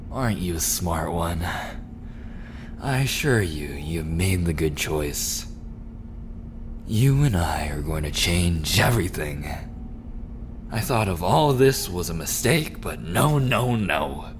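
A smug mafia prince, acted out by a man, speaks in a very low voice at a slow, deliberate pace. He is menacing yet coolly charming, sliding into breathy, possessive murmurs as in an immersive roleplay drama. Clear background noise sits behind him. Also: exaggerated voice. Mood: smug, pleased. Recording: clearly noisy background ambience.

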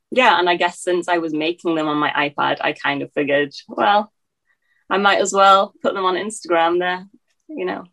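A woman's voice: low-pitched, creaky, fairly bright tone. A woman in her twenties with an English accent tinged with Scottish, answering interview questions hesitantly, with many fillers and restarts. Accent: English with a slight Scottish tinge. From England. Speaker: guest.

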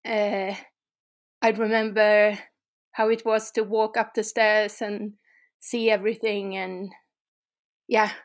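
Slow, hesitant Swedish woman. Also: mid-range voice, little pitch movement. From Sweden. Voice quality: hesitant, thoughtful. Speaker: guest, urban explorer. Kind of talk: interview conversation.

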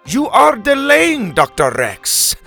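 A man's voice: extremely high pitch, sing-song, takes it slowly, gravelly, a bit bright, faint music behind, pitched above the performer's own voice, exaggerated voice. A man voicing a bossy, impatient woman who speaks in clipped, formal commands in a children's story. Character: bossy, impatient, scornful female camp onlooker.

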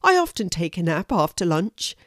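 Woman putting on a gentle, kindly male hedgehog. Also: on the low side, big pitch swings, moderate pace, no echo, clean studio sound. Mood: reassuring. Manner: gentle, plain-spoken, concerned. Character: kindly, polite male hedgehog with spiky spines. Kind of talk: children's storybook character voice.

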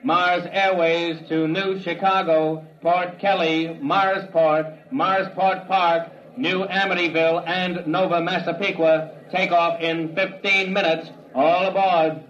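An actor playing an impersonal, official spaceport announcer in a 1950s radio drama. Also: male voice, on the high side, slow pace, few pauses, slight background noise. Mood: flat, official. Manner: monotone, official. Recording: dull old radio recording.

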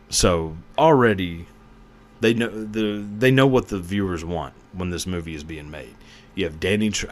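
A man hosting a podcast, rambling through casual, joking commentary with lots of fillers and profanity. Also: deep voice. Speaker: podcast host.

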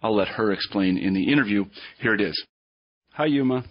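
A man with a lowish, husky voice hosts an interview podcast. He speaks conversationally, and the recording is a little dull and lo-fi. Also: brisk, no room sound. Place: remote interview call from Iowa.

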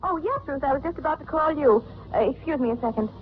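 A woman's voice: high voice, expressive, fast talker. An actress playing a coolly composed, scheming young career woman in a vintage radio drama. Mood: brisk, skeptical. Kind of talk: 1940s-50s radio drama acting. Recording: tinny, hissy old radio recording.

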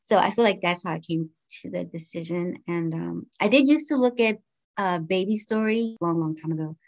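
A woman's voice: lowish voice, a little fast, vocal fry. A woman in her forties from Guyana, raised in the United States, answering interview questions in a thoughtful, candid way. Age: 40s. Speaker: podcast guest.

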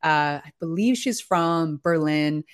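A middle-aged woman hosting a live interview, enthusiastic and a bit halting with fillers. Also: low voice. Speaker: host.

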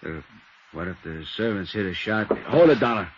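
A man's voice: on the low side, expressive, moderate pace, lightly acted. An actor playing a dim, menacing hired gunman and chauffeur, terse and threatening, in 1950s radio drama style. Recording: muffled, noisy 1950s radio recording.

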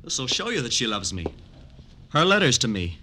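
Delusional male killer in old radio drama, played by a man: childlike, pleading, pitch swinging widely, faintly noisy vintage recording. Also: sing-song, normal speed, lightly acted. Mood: earnest, insistent. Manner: pleading, insistent, self-justifying. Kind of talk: 1950s radio crime drama acting.

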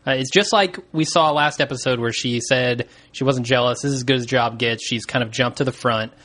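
Guy on a podcast going over an episode, bright voice, easygoing and jokey. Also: medium pitch, fairly quick. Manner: laid-back, jokey, laughs easily. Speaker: podcast co-host. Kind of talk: casual chat recapping a TV episode.

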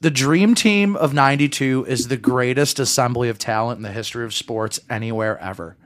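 A man's voice: mid-range voice, brisk, fairly bright tone. A man co-hosting a podcast, chatting casually in an emphatic, opinionated way and repeating himself for stress. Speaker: podcast co-host. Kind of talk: casual chat.

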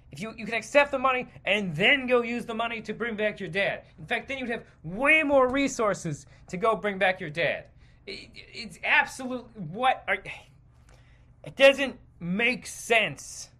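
An American man with a bright, forceful voice delivers a comedic podcast rant. His pitch swings widely as he repeats himself for emphasis. He sounds exasperated and swears freely. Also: expressive, measured pace. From United States. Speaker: podcast host. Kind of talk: stream-of-consciousness comedic rant.

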